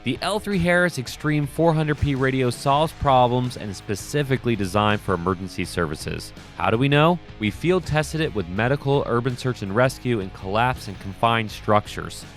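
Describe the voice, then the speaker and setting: A man reading sponsor spots in an upbeat, higher pitched, punchy voice, slightly off-mic at times. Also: lively intonation, moderate pace, few pauses, soft background music, close to an everyday voice. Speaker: ad reader.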